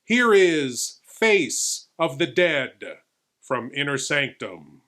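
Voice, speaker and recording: A man acts out a ghoulish lord presenting late-night horror. His voice sits high for a man, with a grand, drawn-out, theatrical delivery made for a scripted introduction. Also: very animated, bright, forceful tone, full character voice. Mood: grandly spooky. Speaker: horror show host.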